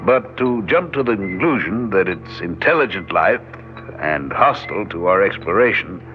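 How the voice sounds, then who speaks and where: An actor playing an older, cautious, rational space scientist in old-time radio drama style, measured and deliberate. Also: male voice, sits low, lively intonation. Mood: firm, measured. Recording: dull old radio, music behind.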